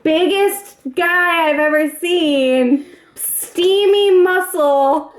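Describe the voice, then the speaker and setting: A woman's voice: extremely high pitch, drawn-out words. A woman hosting an improvised comedy puppet show, announcing playfully and theatrically with casual filler words. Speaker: host of a parody singing competition. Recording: roomy, echoing room sound.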